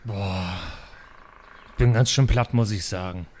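A man playing an exhausted, brooding adventurer in an improvised audio drama, speaking quietly and sullenly. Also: on the low side, unhurried, a little hiss or hum, voice pushed down, natural voice. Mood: weary. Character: exhausted, brooding adventurer grieving his brother.

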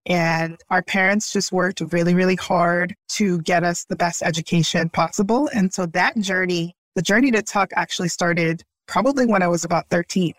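A woman's voice: fairly low pitch, moderate pace, dry recording. Earnest woman, dry close sound. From Brooklyn, New York. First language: Haitian Creole. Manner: reflective, earnest, laughs easily. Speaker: startup founder, guest. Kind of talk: conversational interview.

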